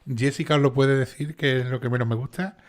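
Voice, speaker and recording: A man's voice: mid-range voice, fairly even intonation. A man with a strong Sevillian Andalusian accent, hosting a casual livestream chat and checking in often with his listeners. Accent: Sevillian Andalusian Spanish. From Seville, Spain. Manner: fast, chatty, with many restarts and "¿vale?" checks. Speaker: channel host and narrator.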